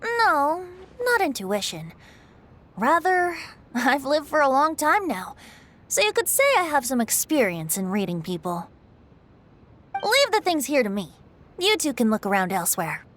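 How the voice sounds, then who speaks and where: A woman's voice: sits high, big pitch swings, measured pace, fairly bright tone, heavily acted. A woman playing a playful, wise, long-lived lady in a video game, elegant, teasing and assured. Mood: calm, assured.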